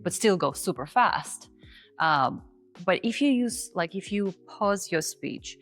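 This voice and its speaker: A non-native English-speaking woman coach talks in a lively, casual teaching style. Her voice sits a little low for a woman. Soft music plays faintly under her. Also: slow. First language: not English. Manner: chatty and animated, often acts out example voices. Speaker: confidence coach and host.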